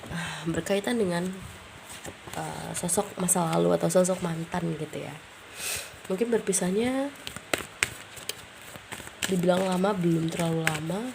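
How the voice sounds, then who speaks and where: A woman's voice: on the low side, unhurried, creaky, pauses often, background noise. A woman with a stuffy, nasal voice from a cold, doing a casual tarot reading, thinking aloud and tossing in English words. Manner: musing, thinking aloud with frequent fillers. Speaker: tarot reader.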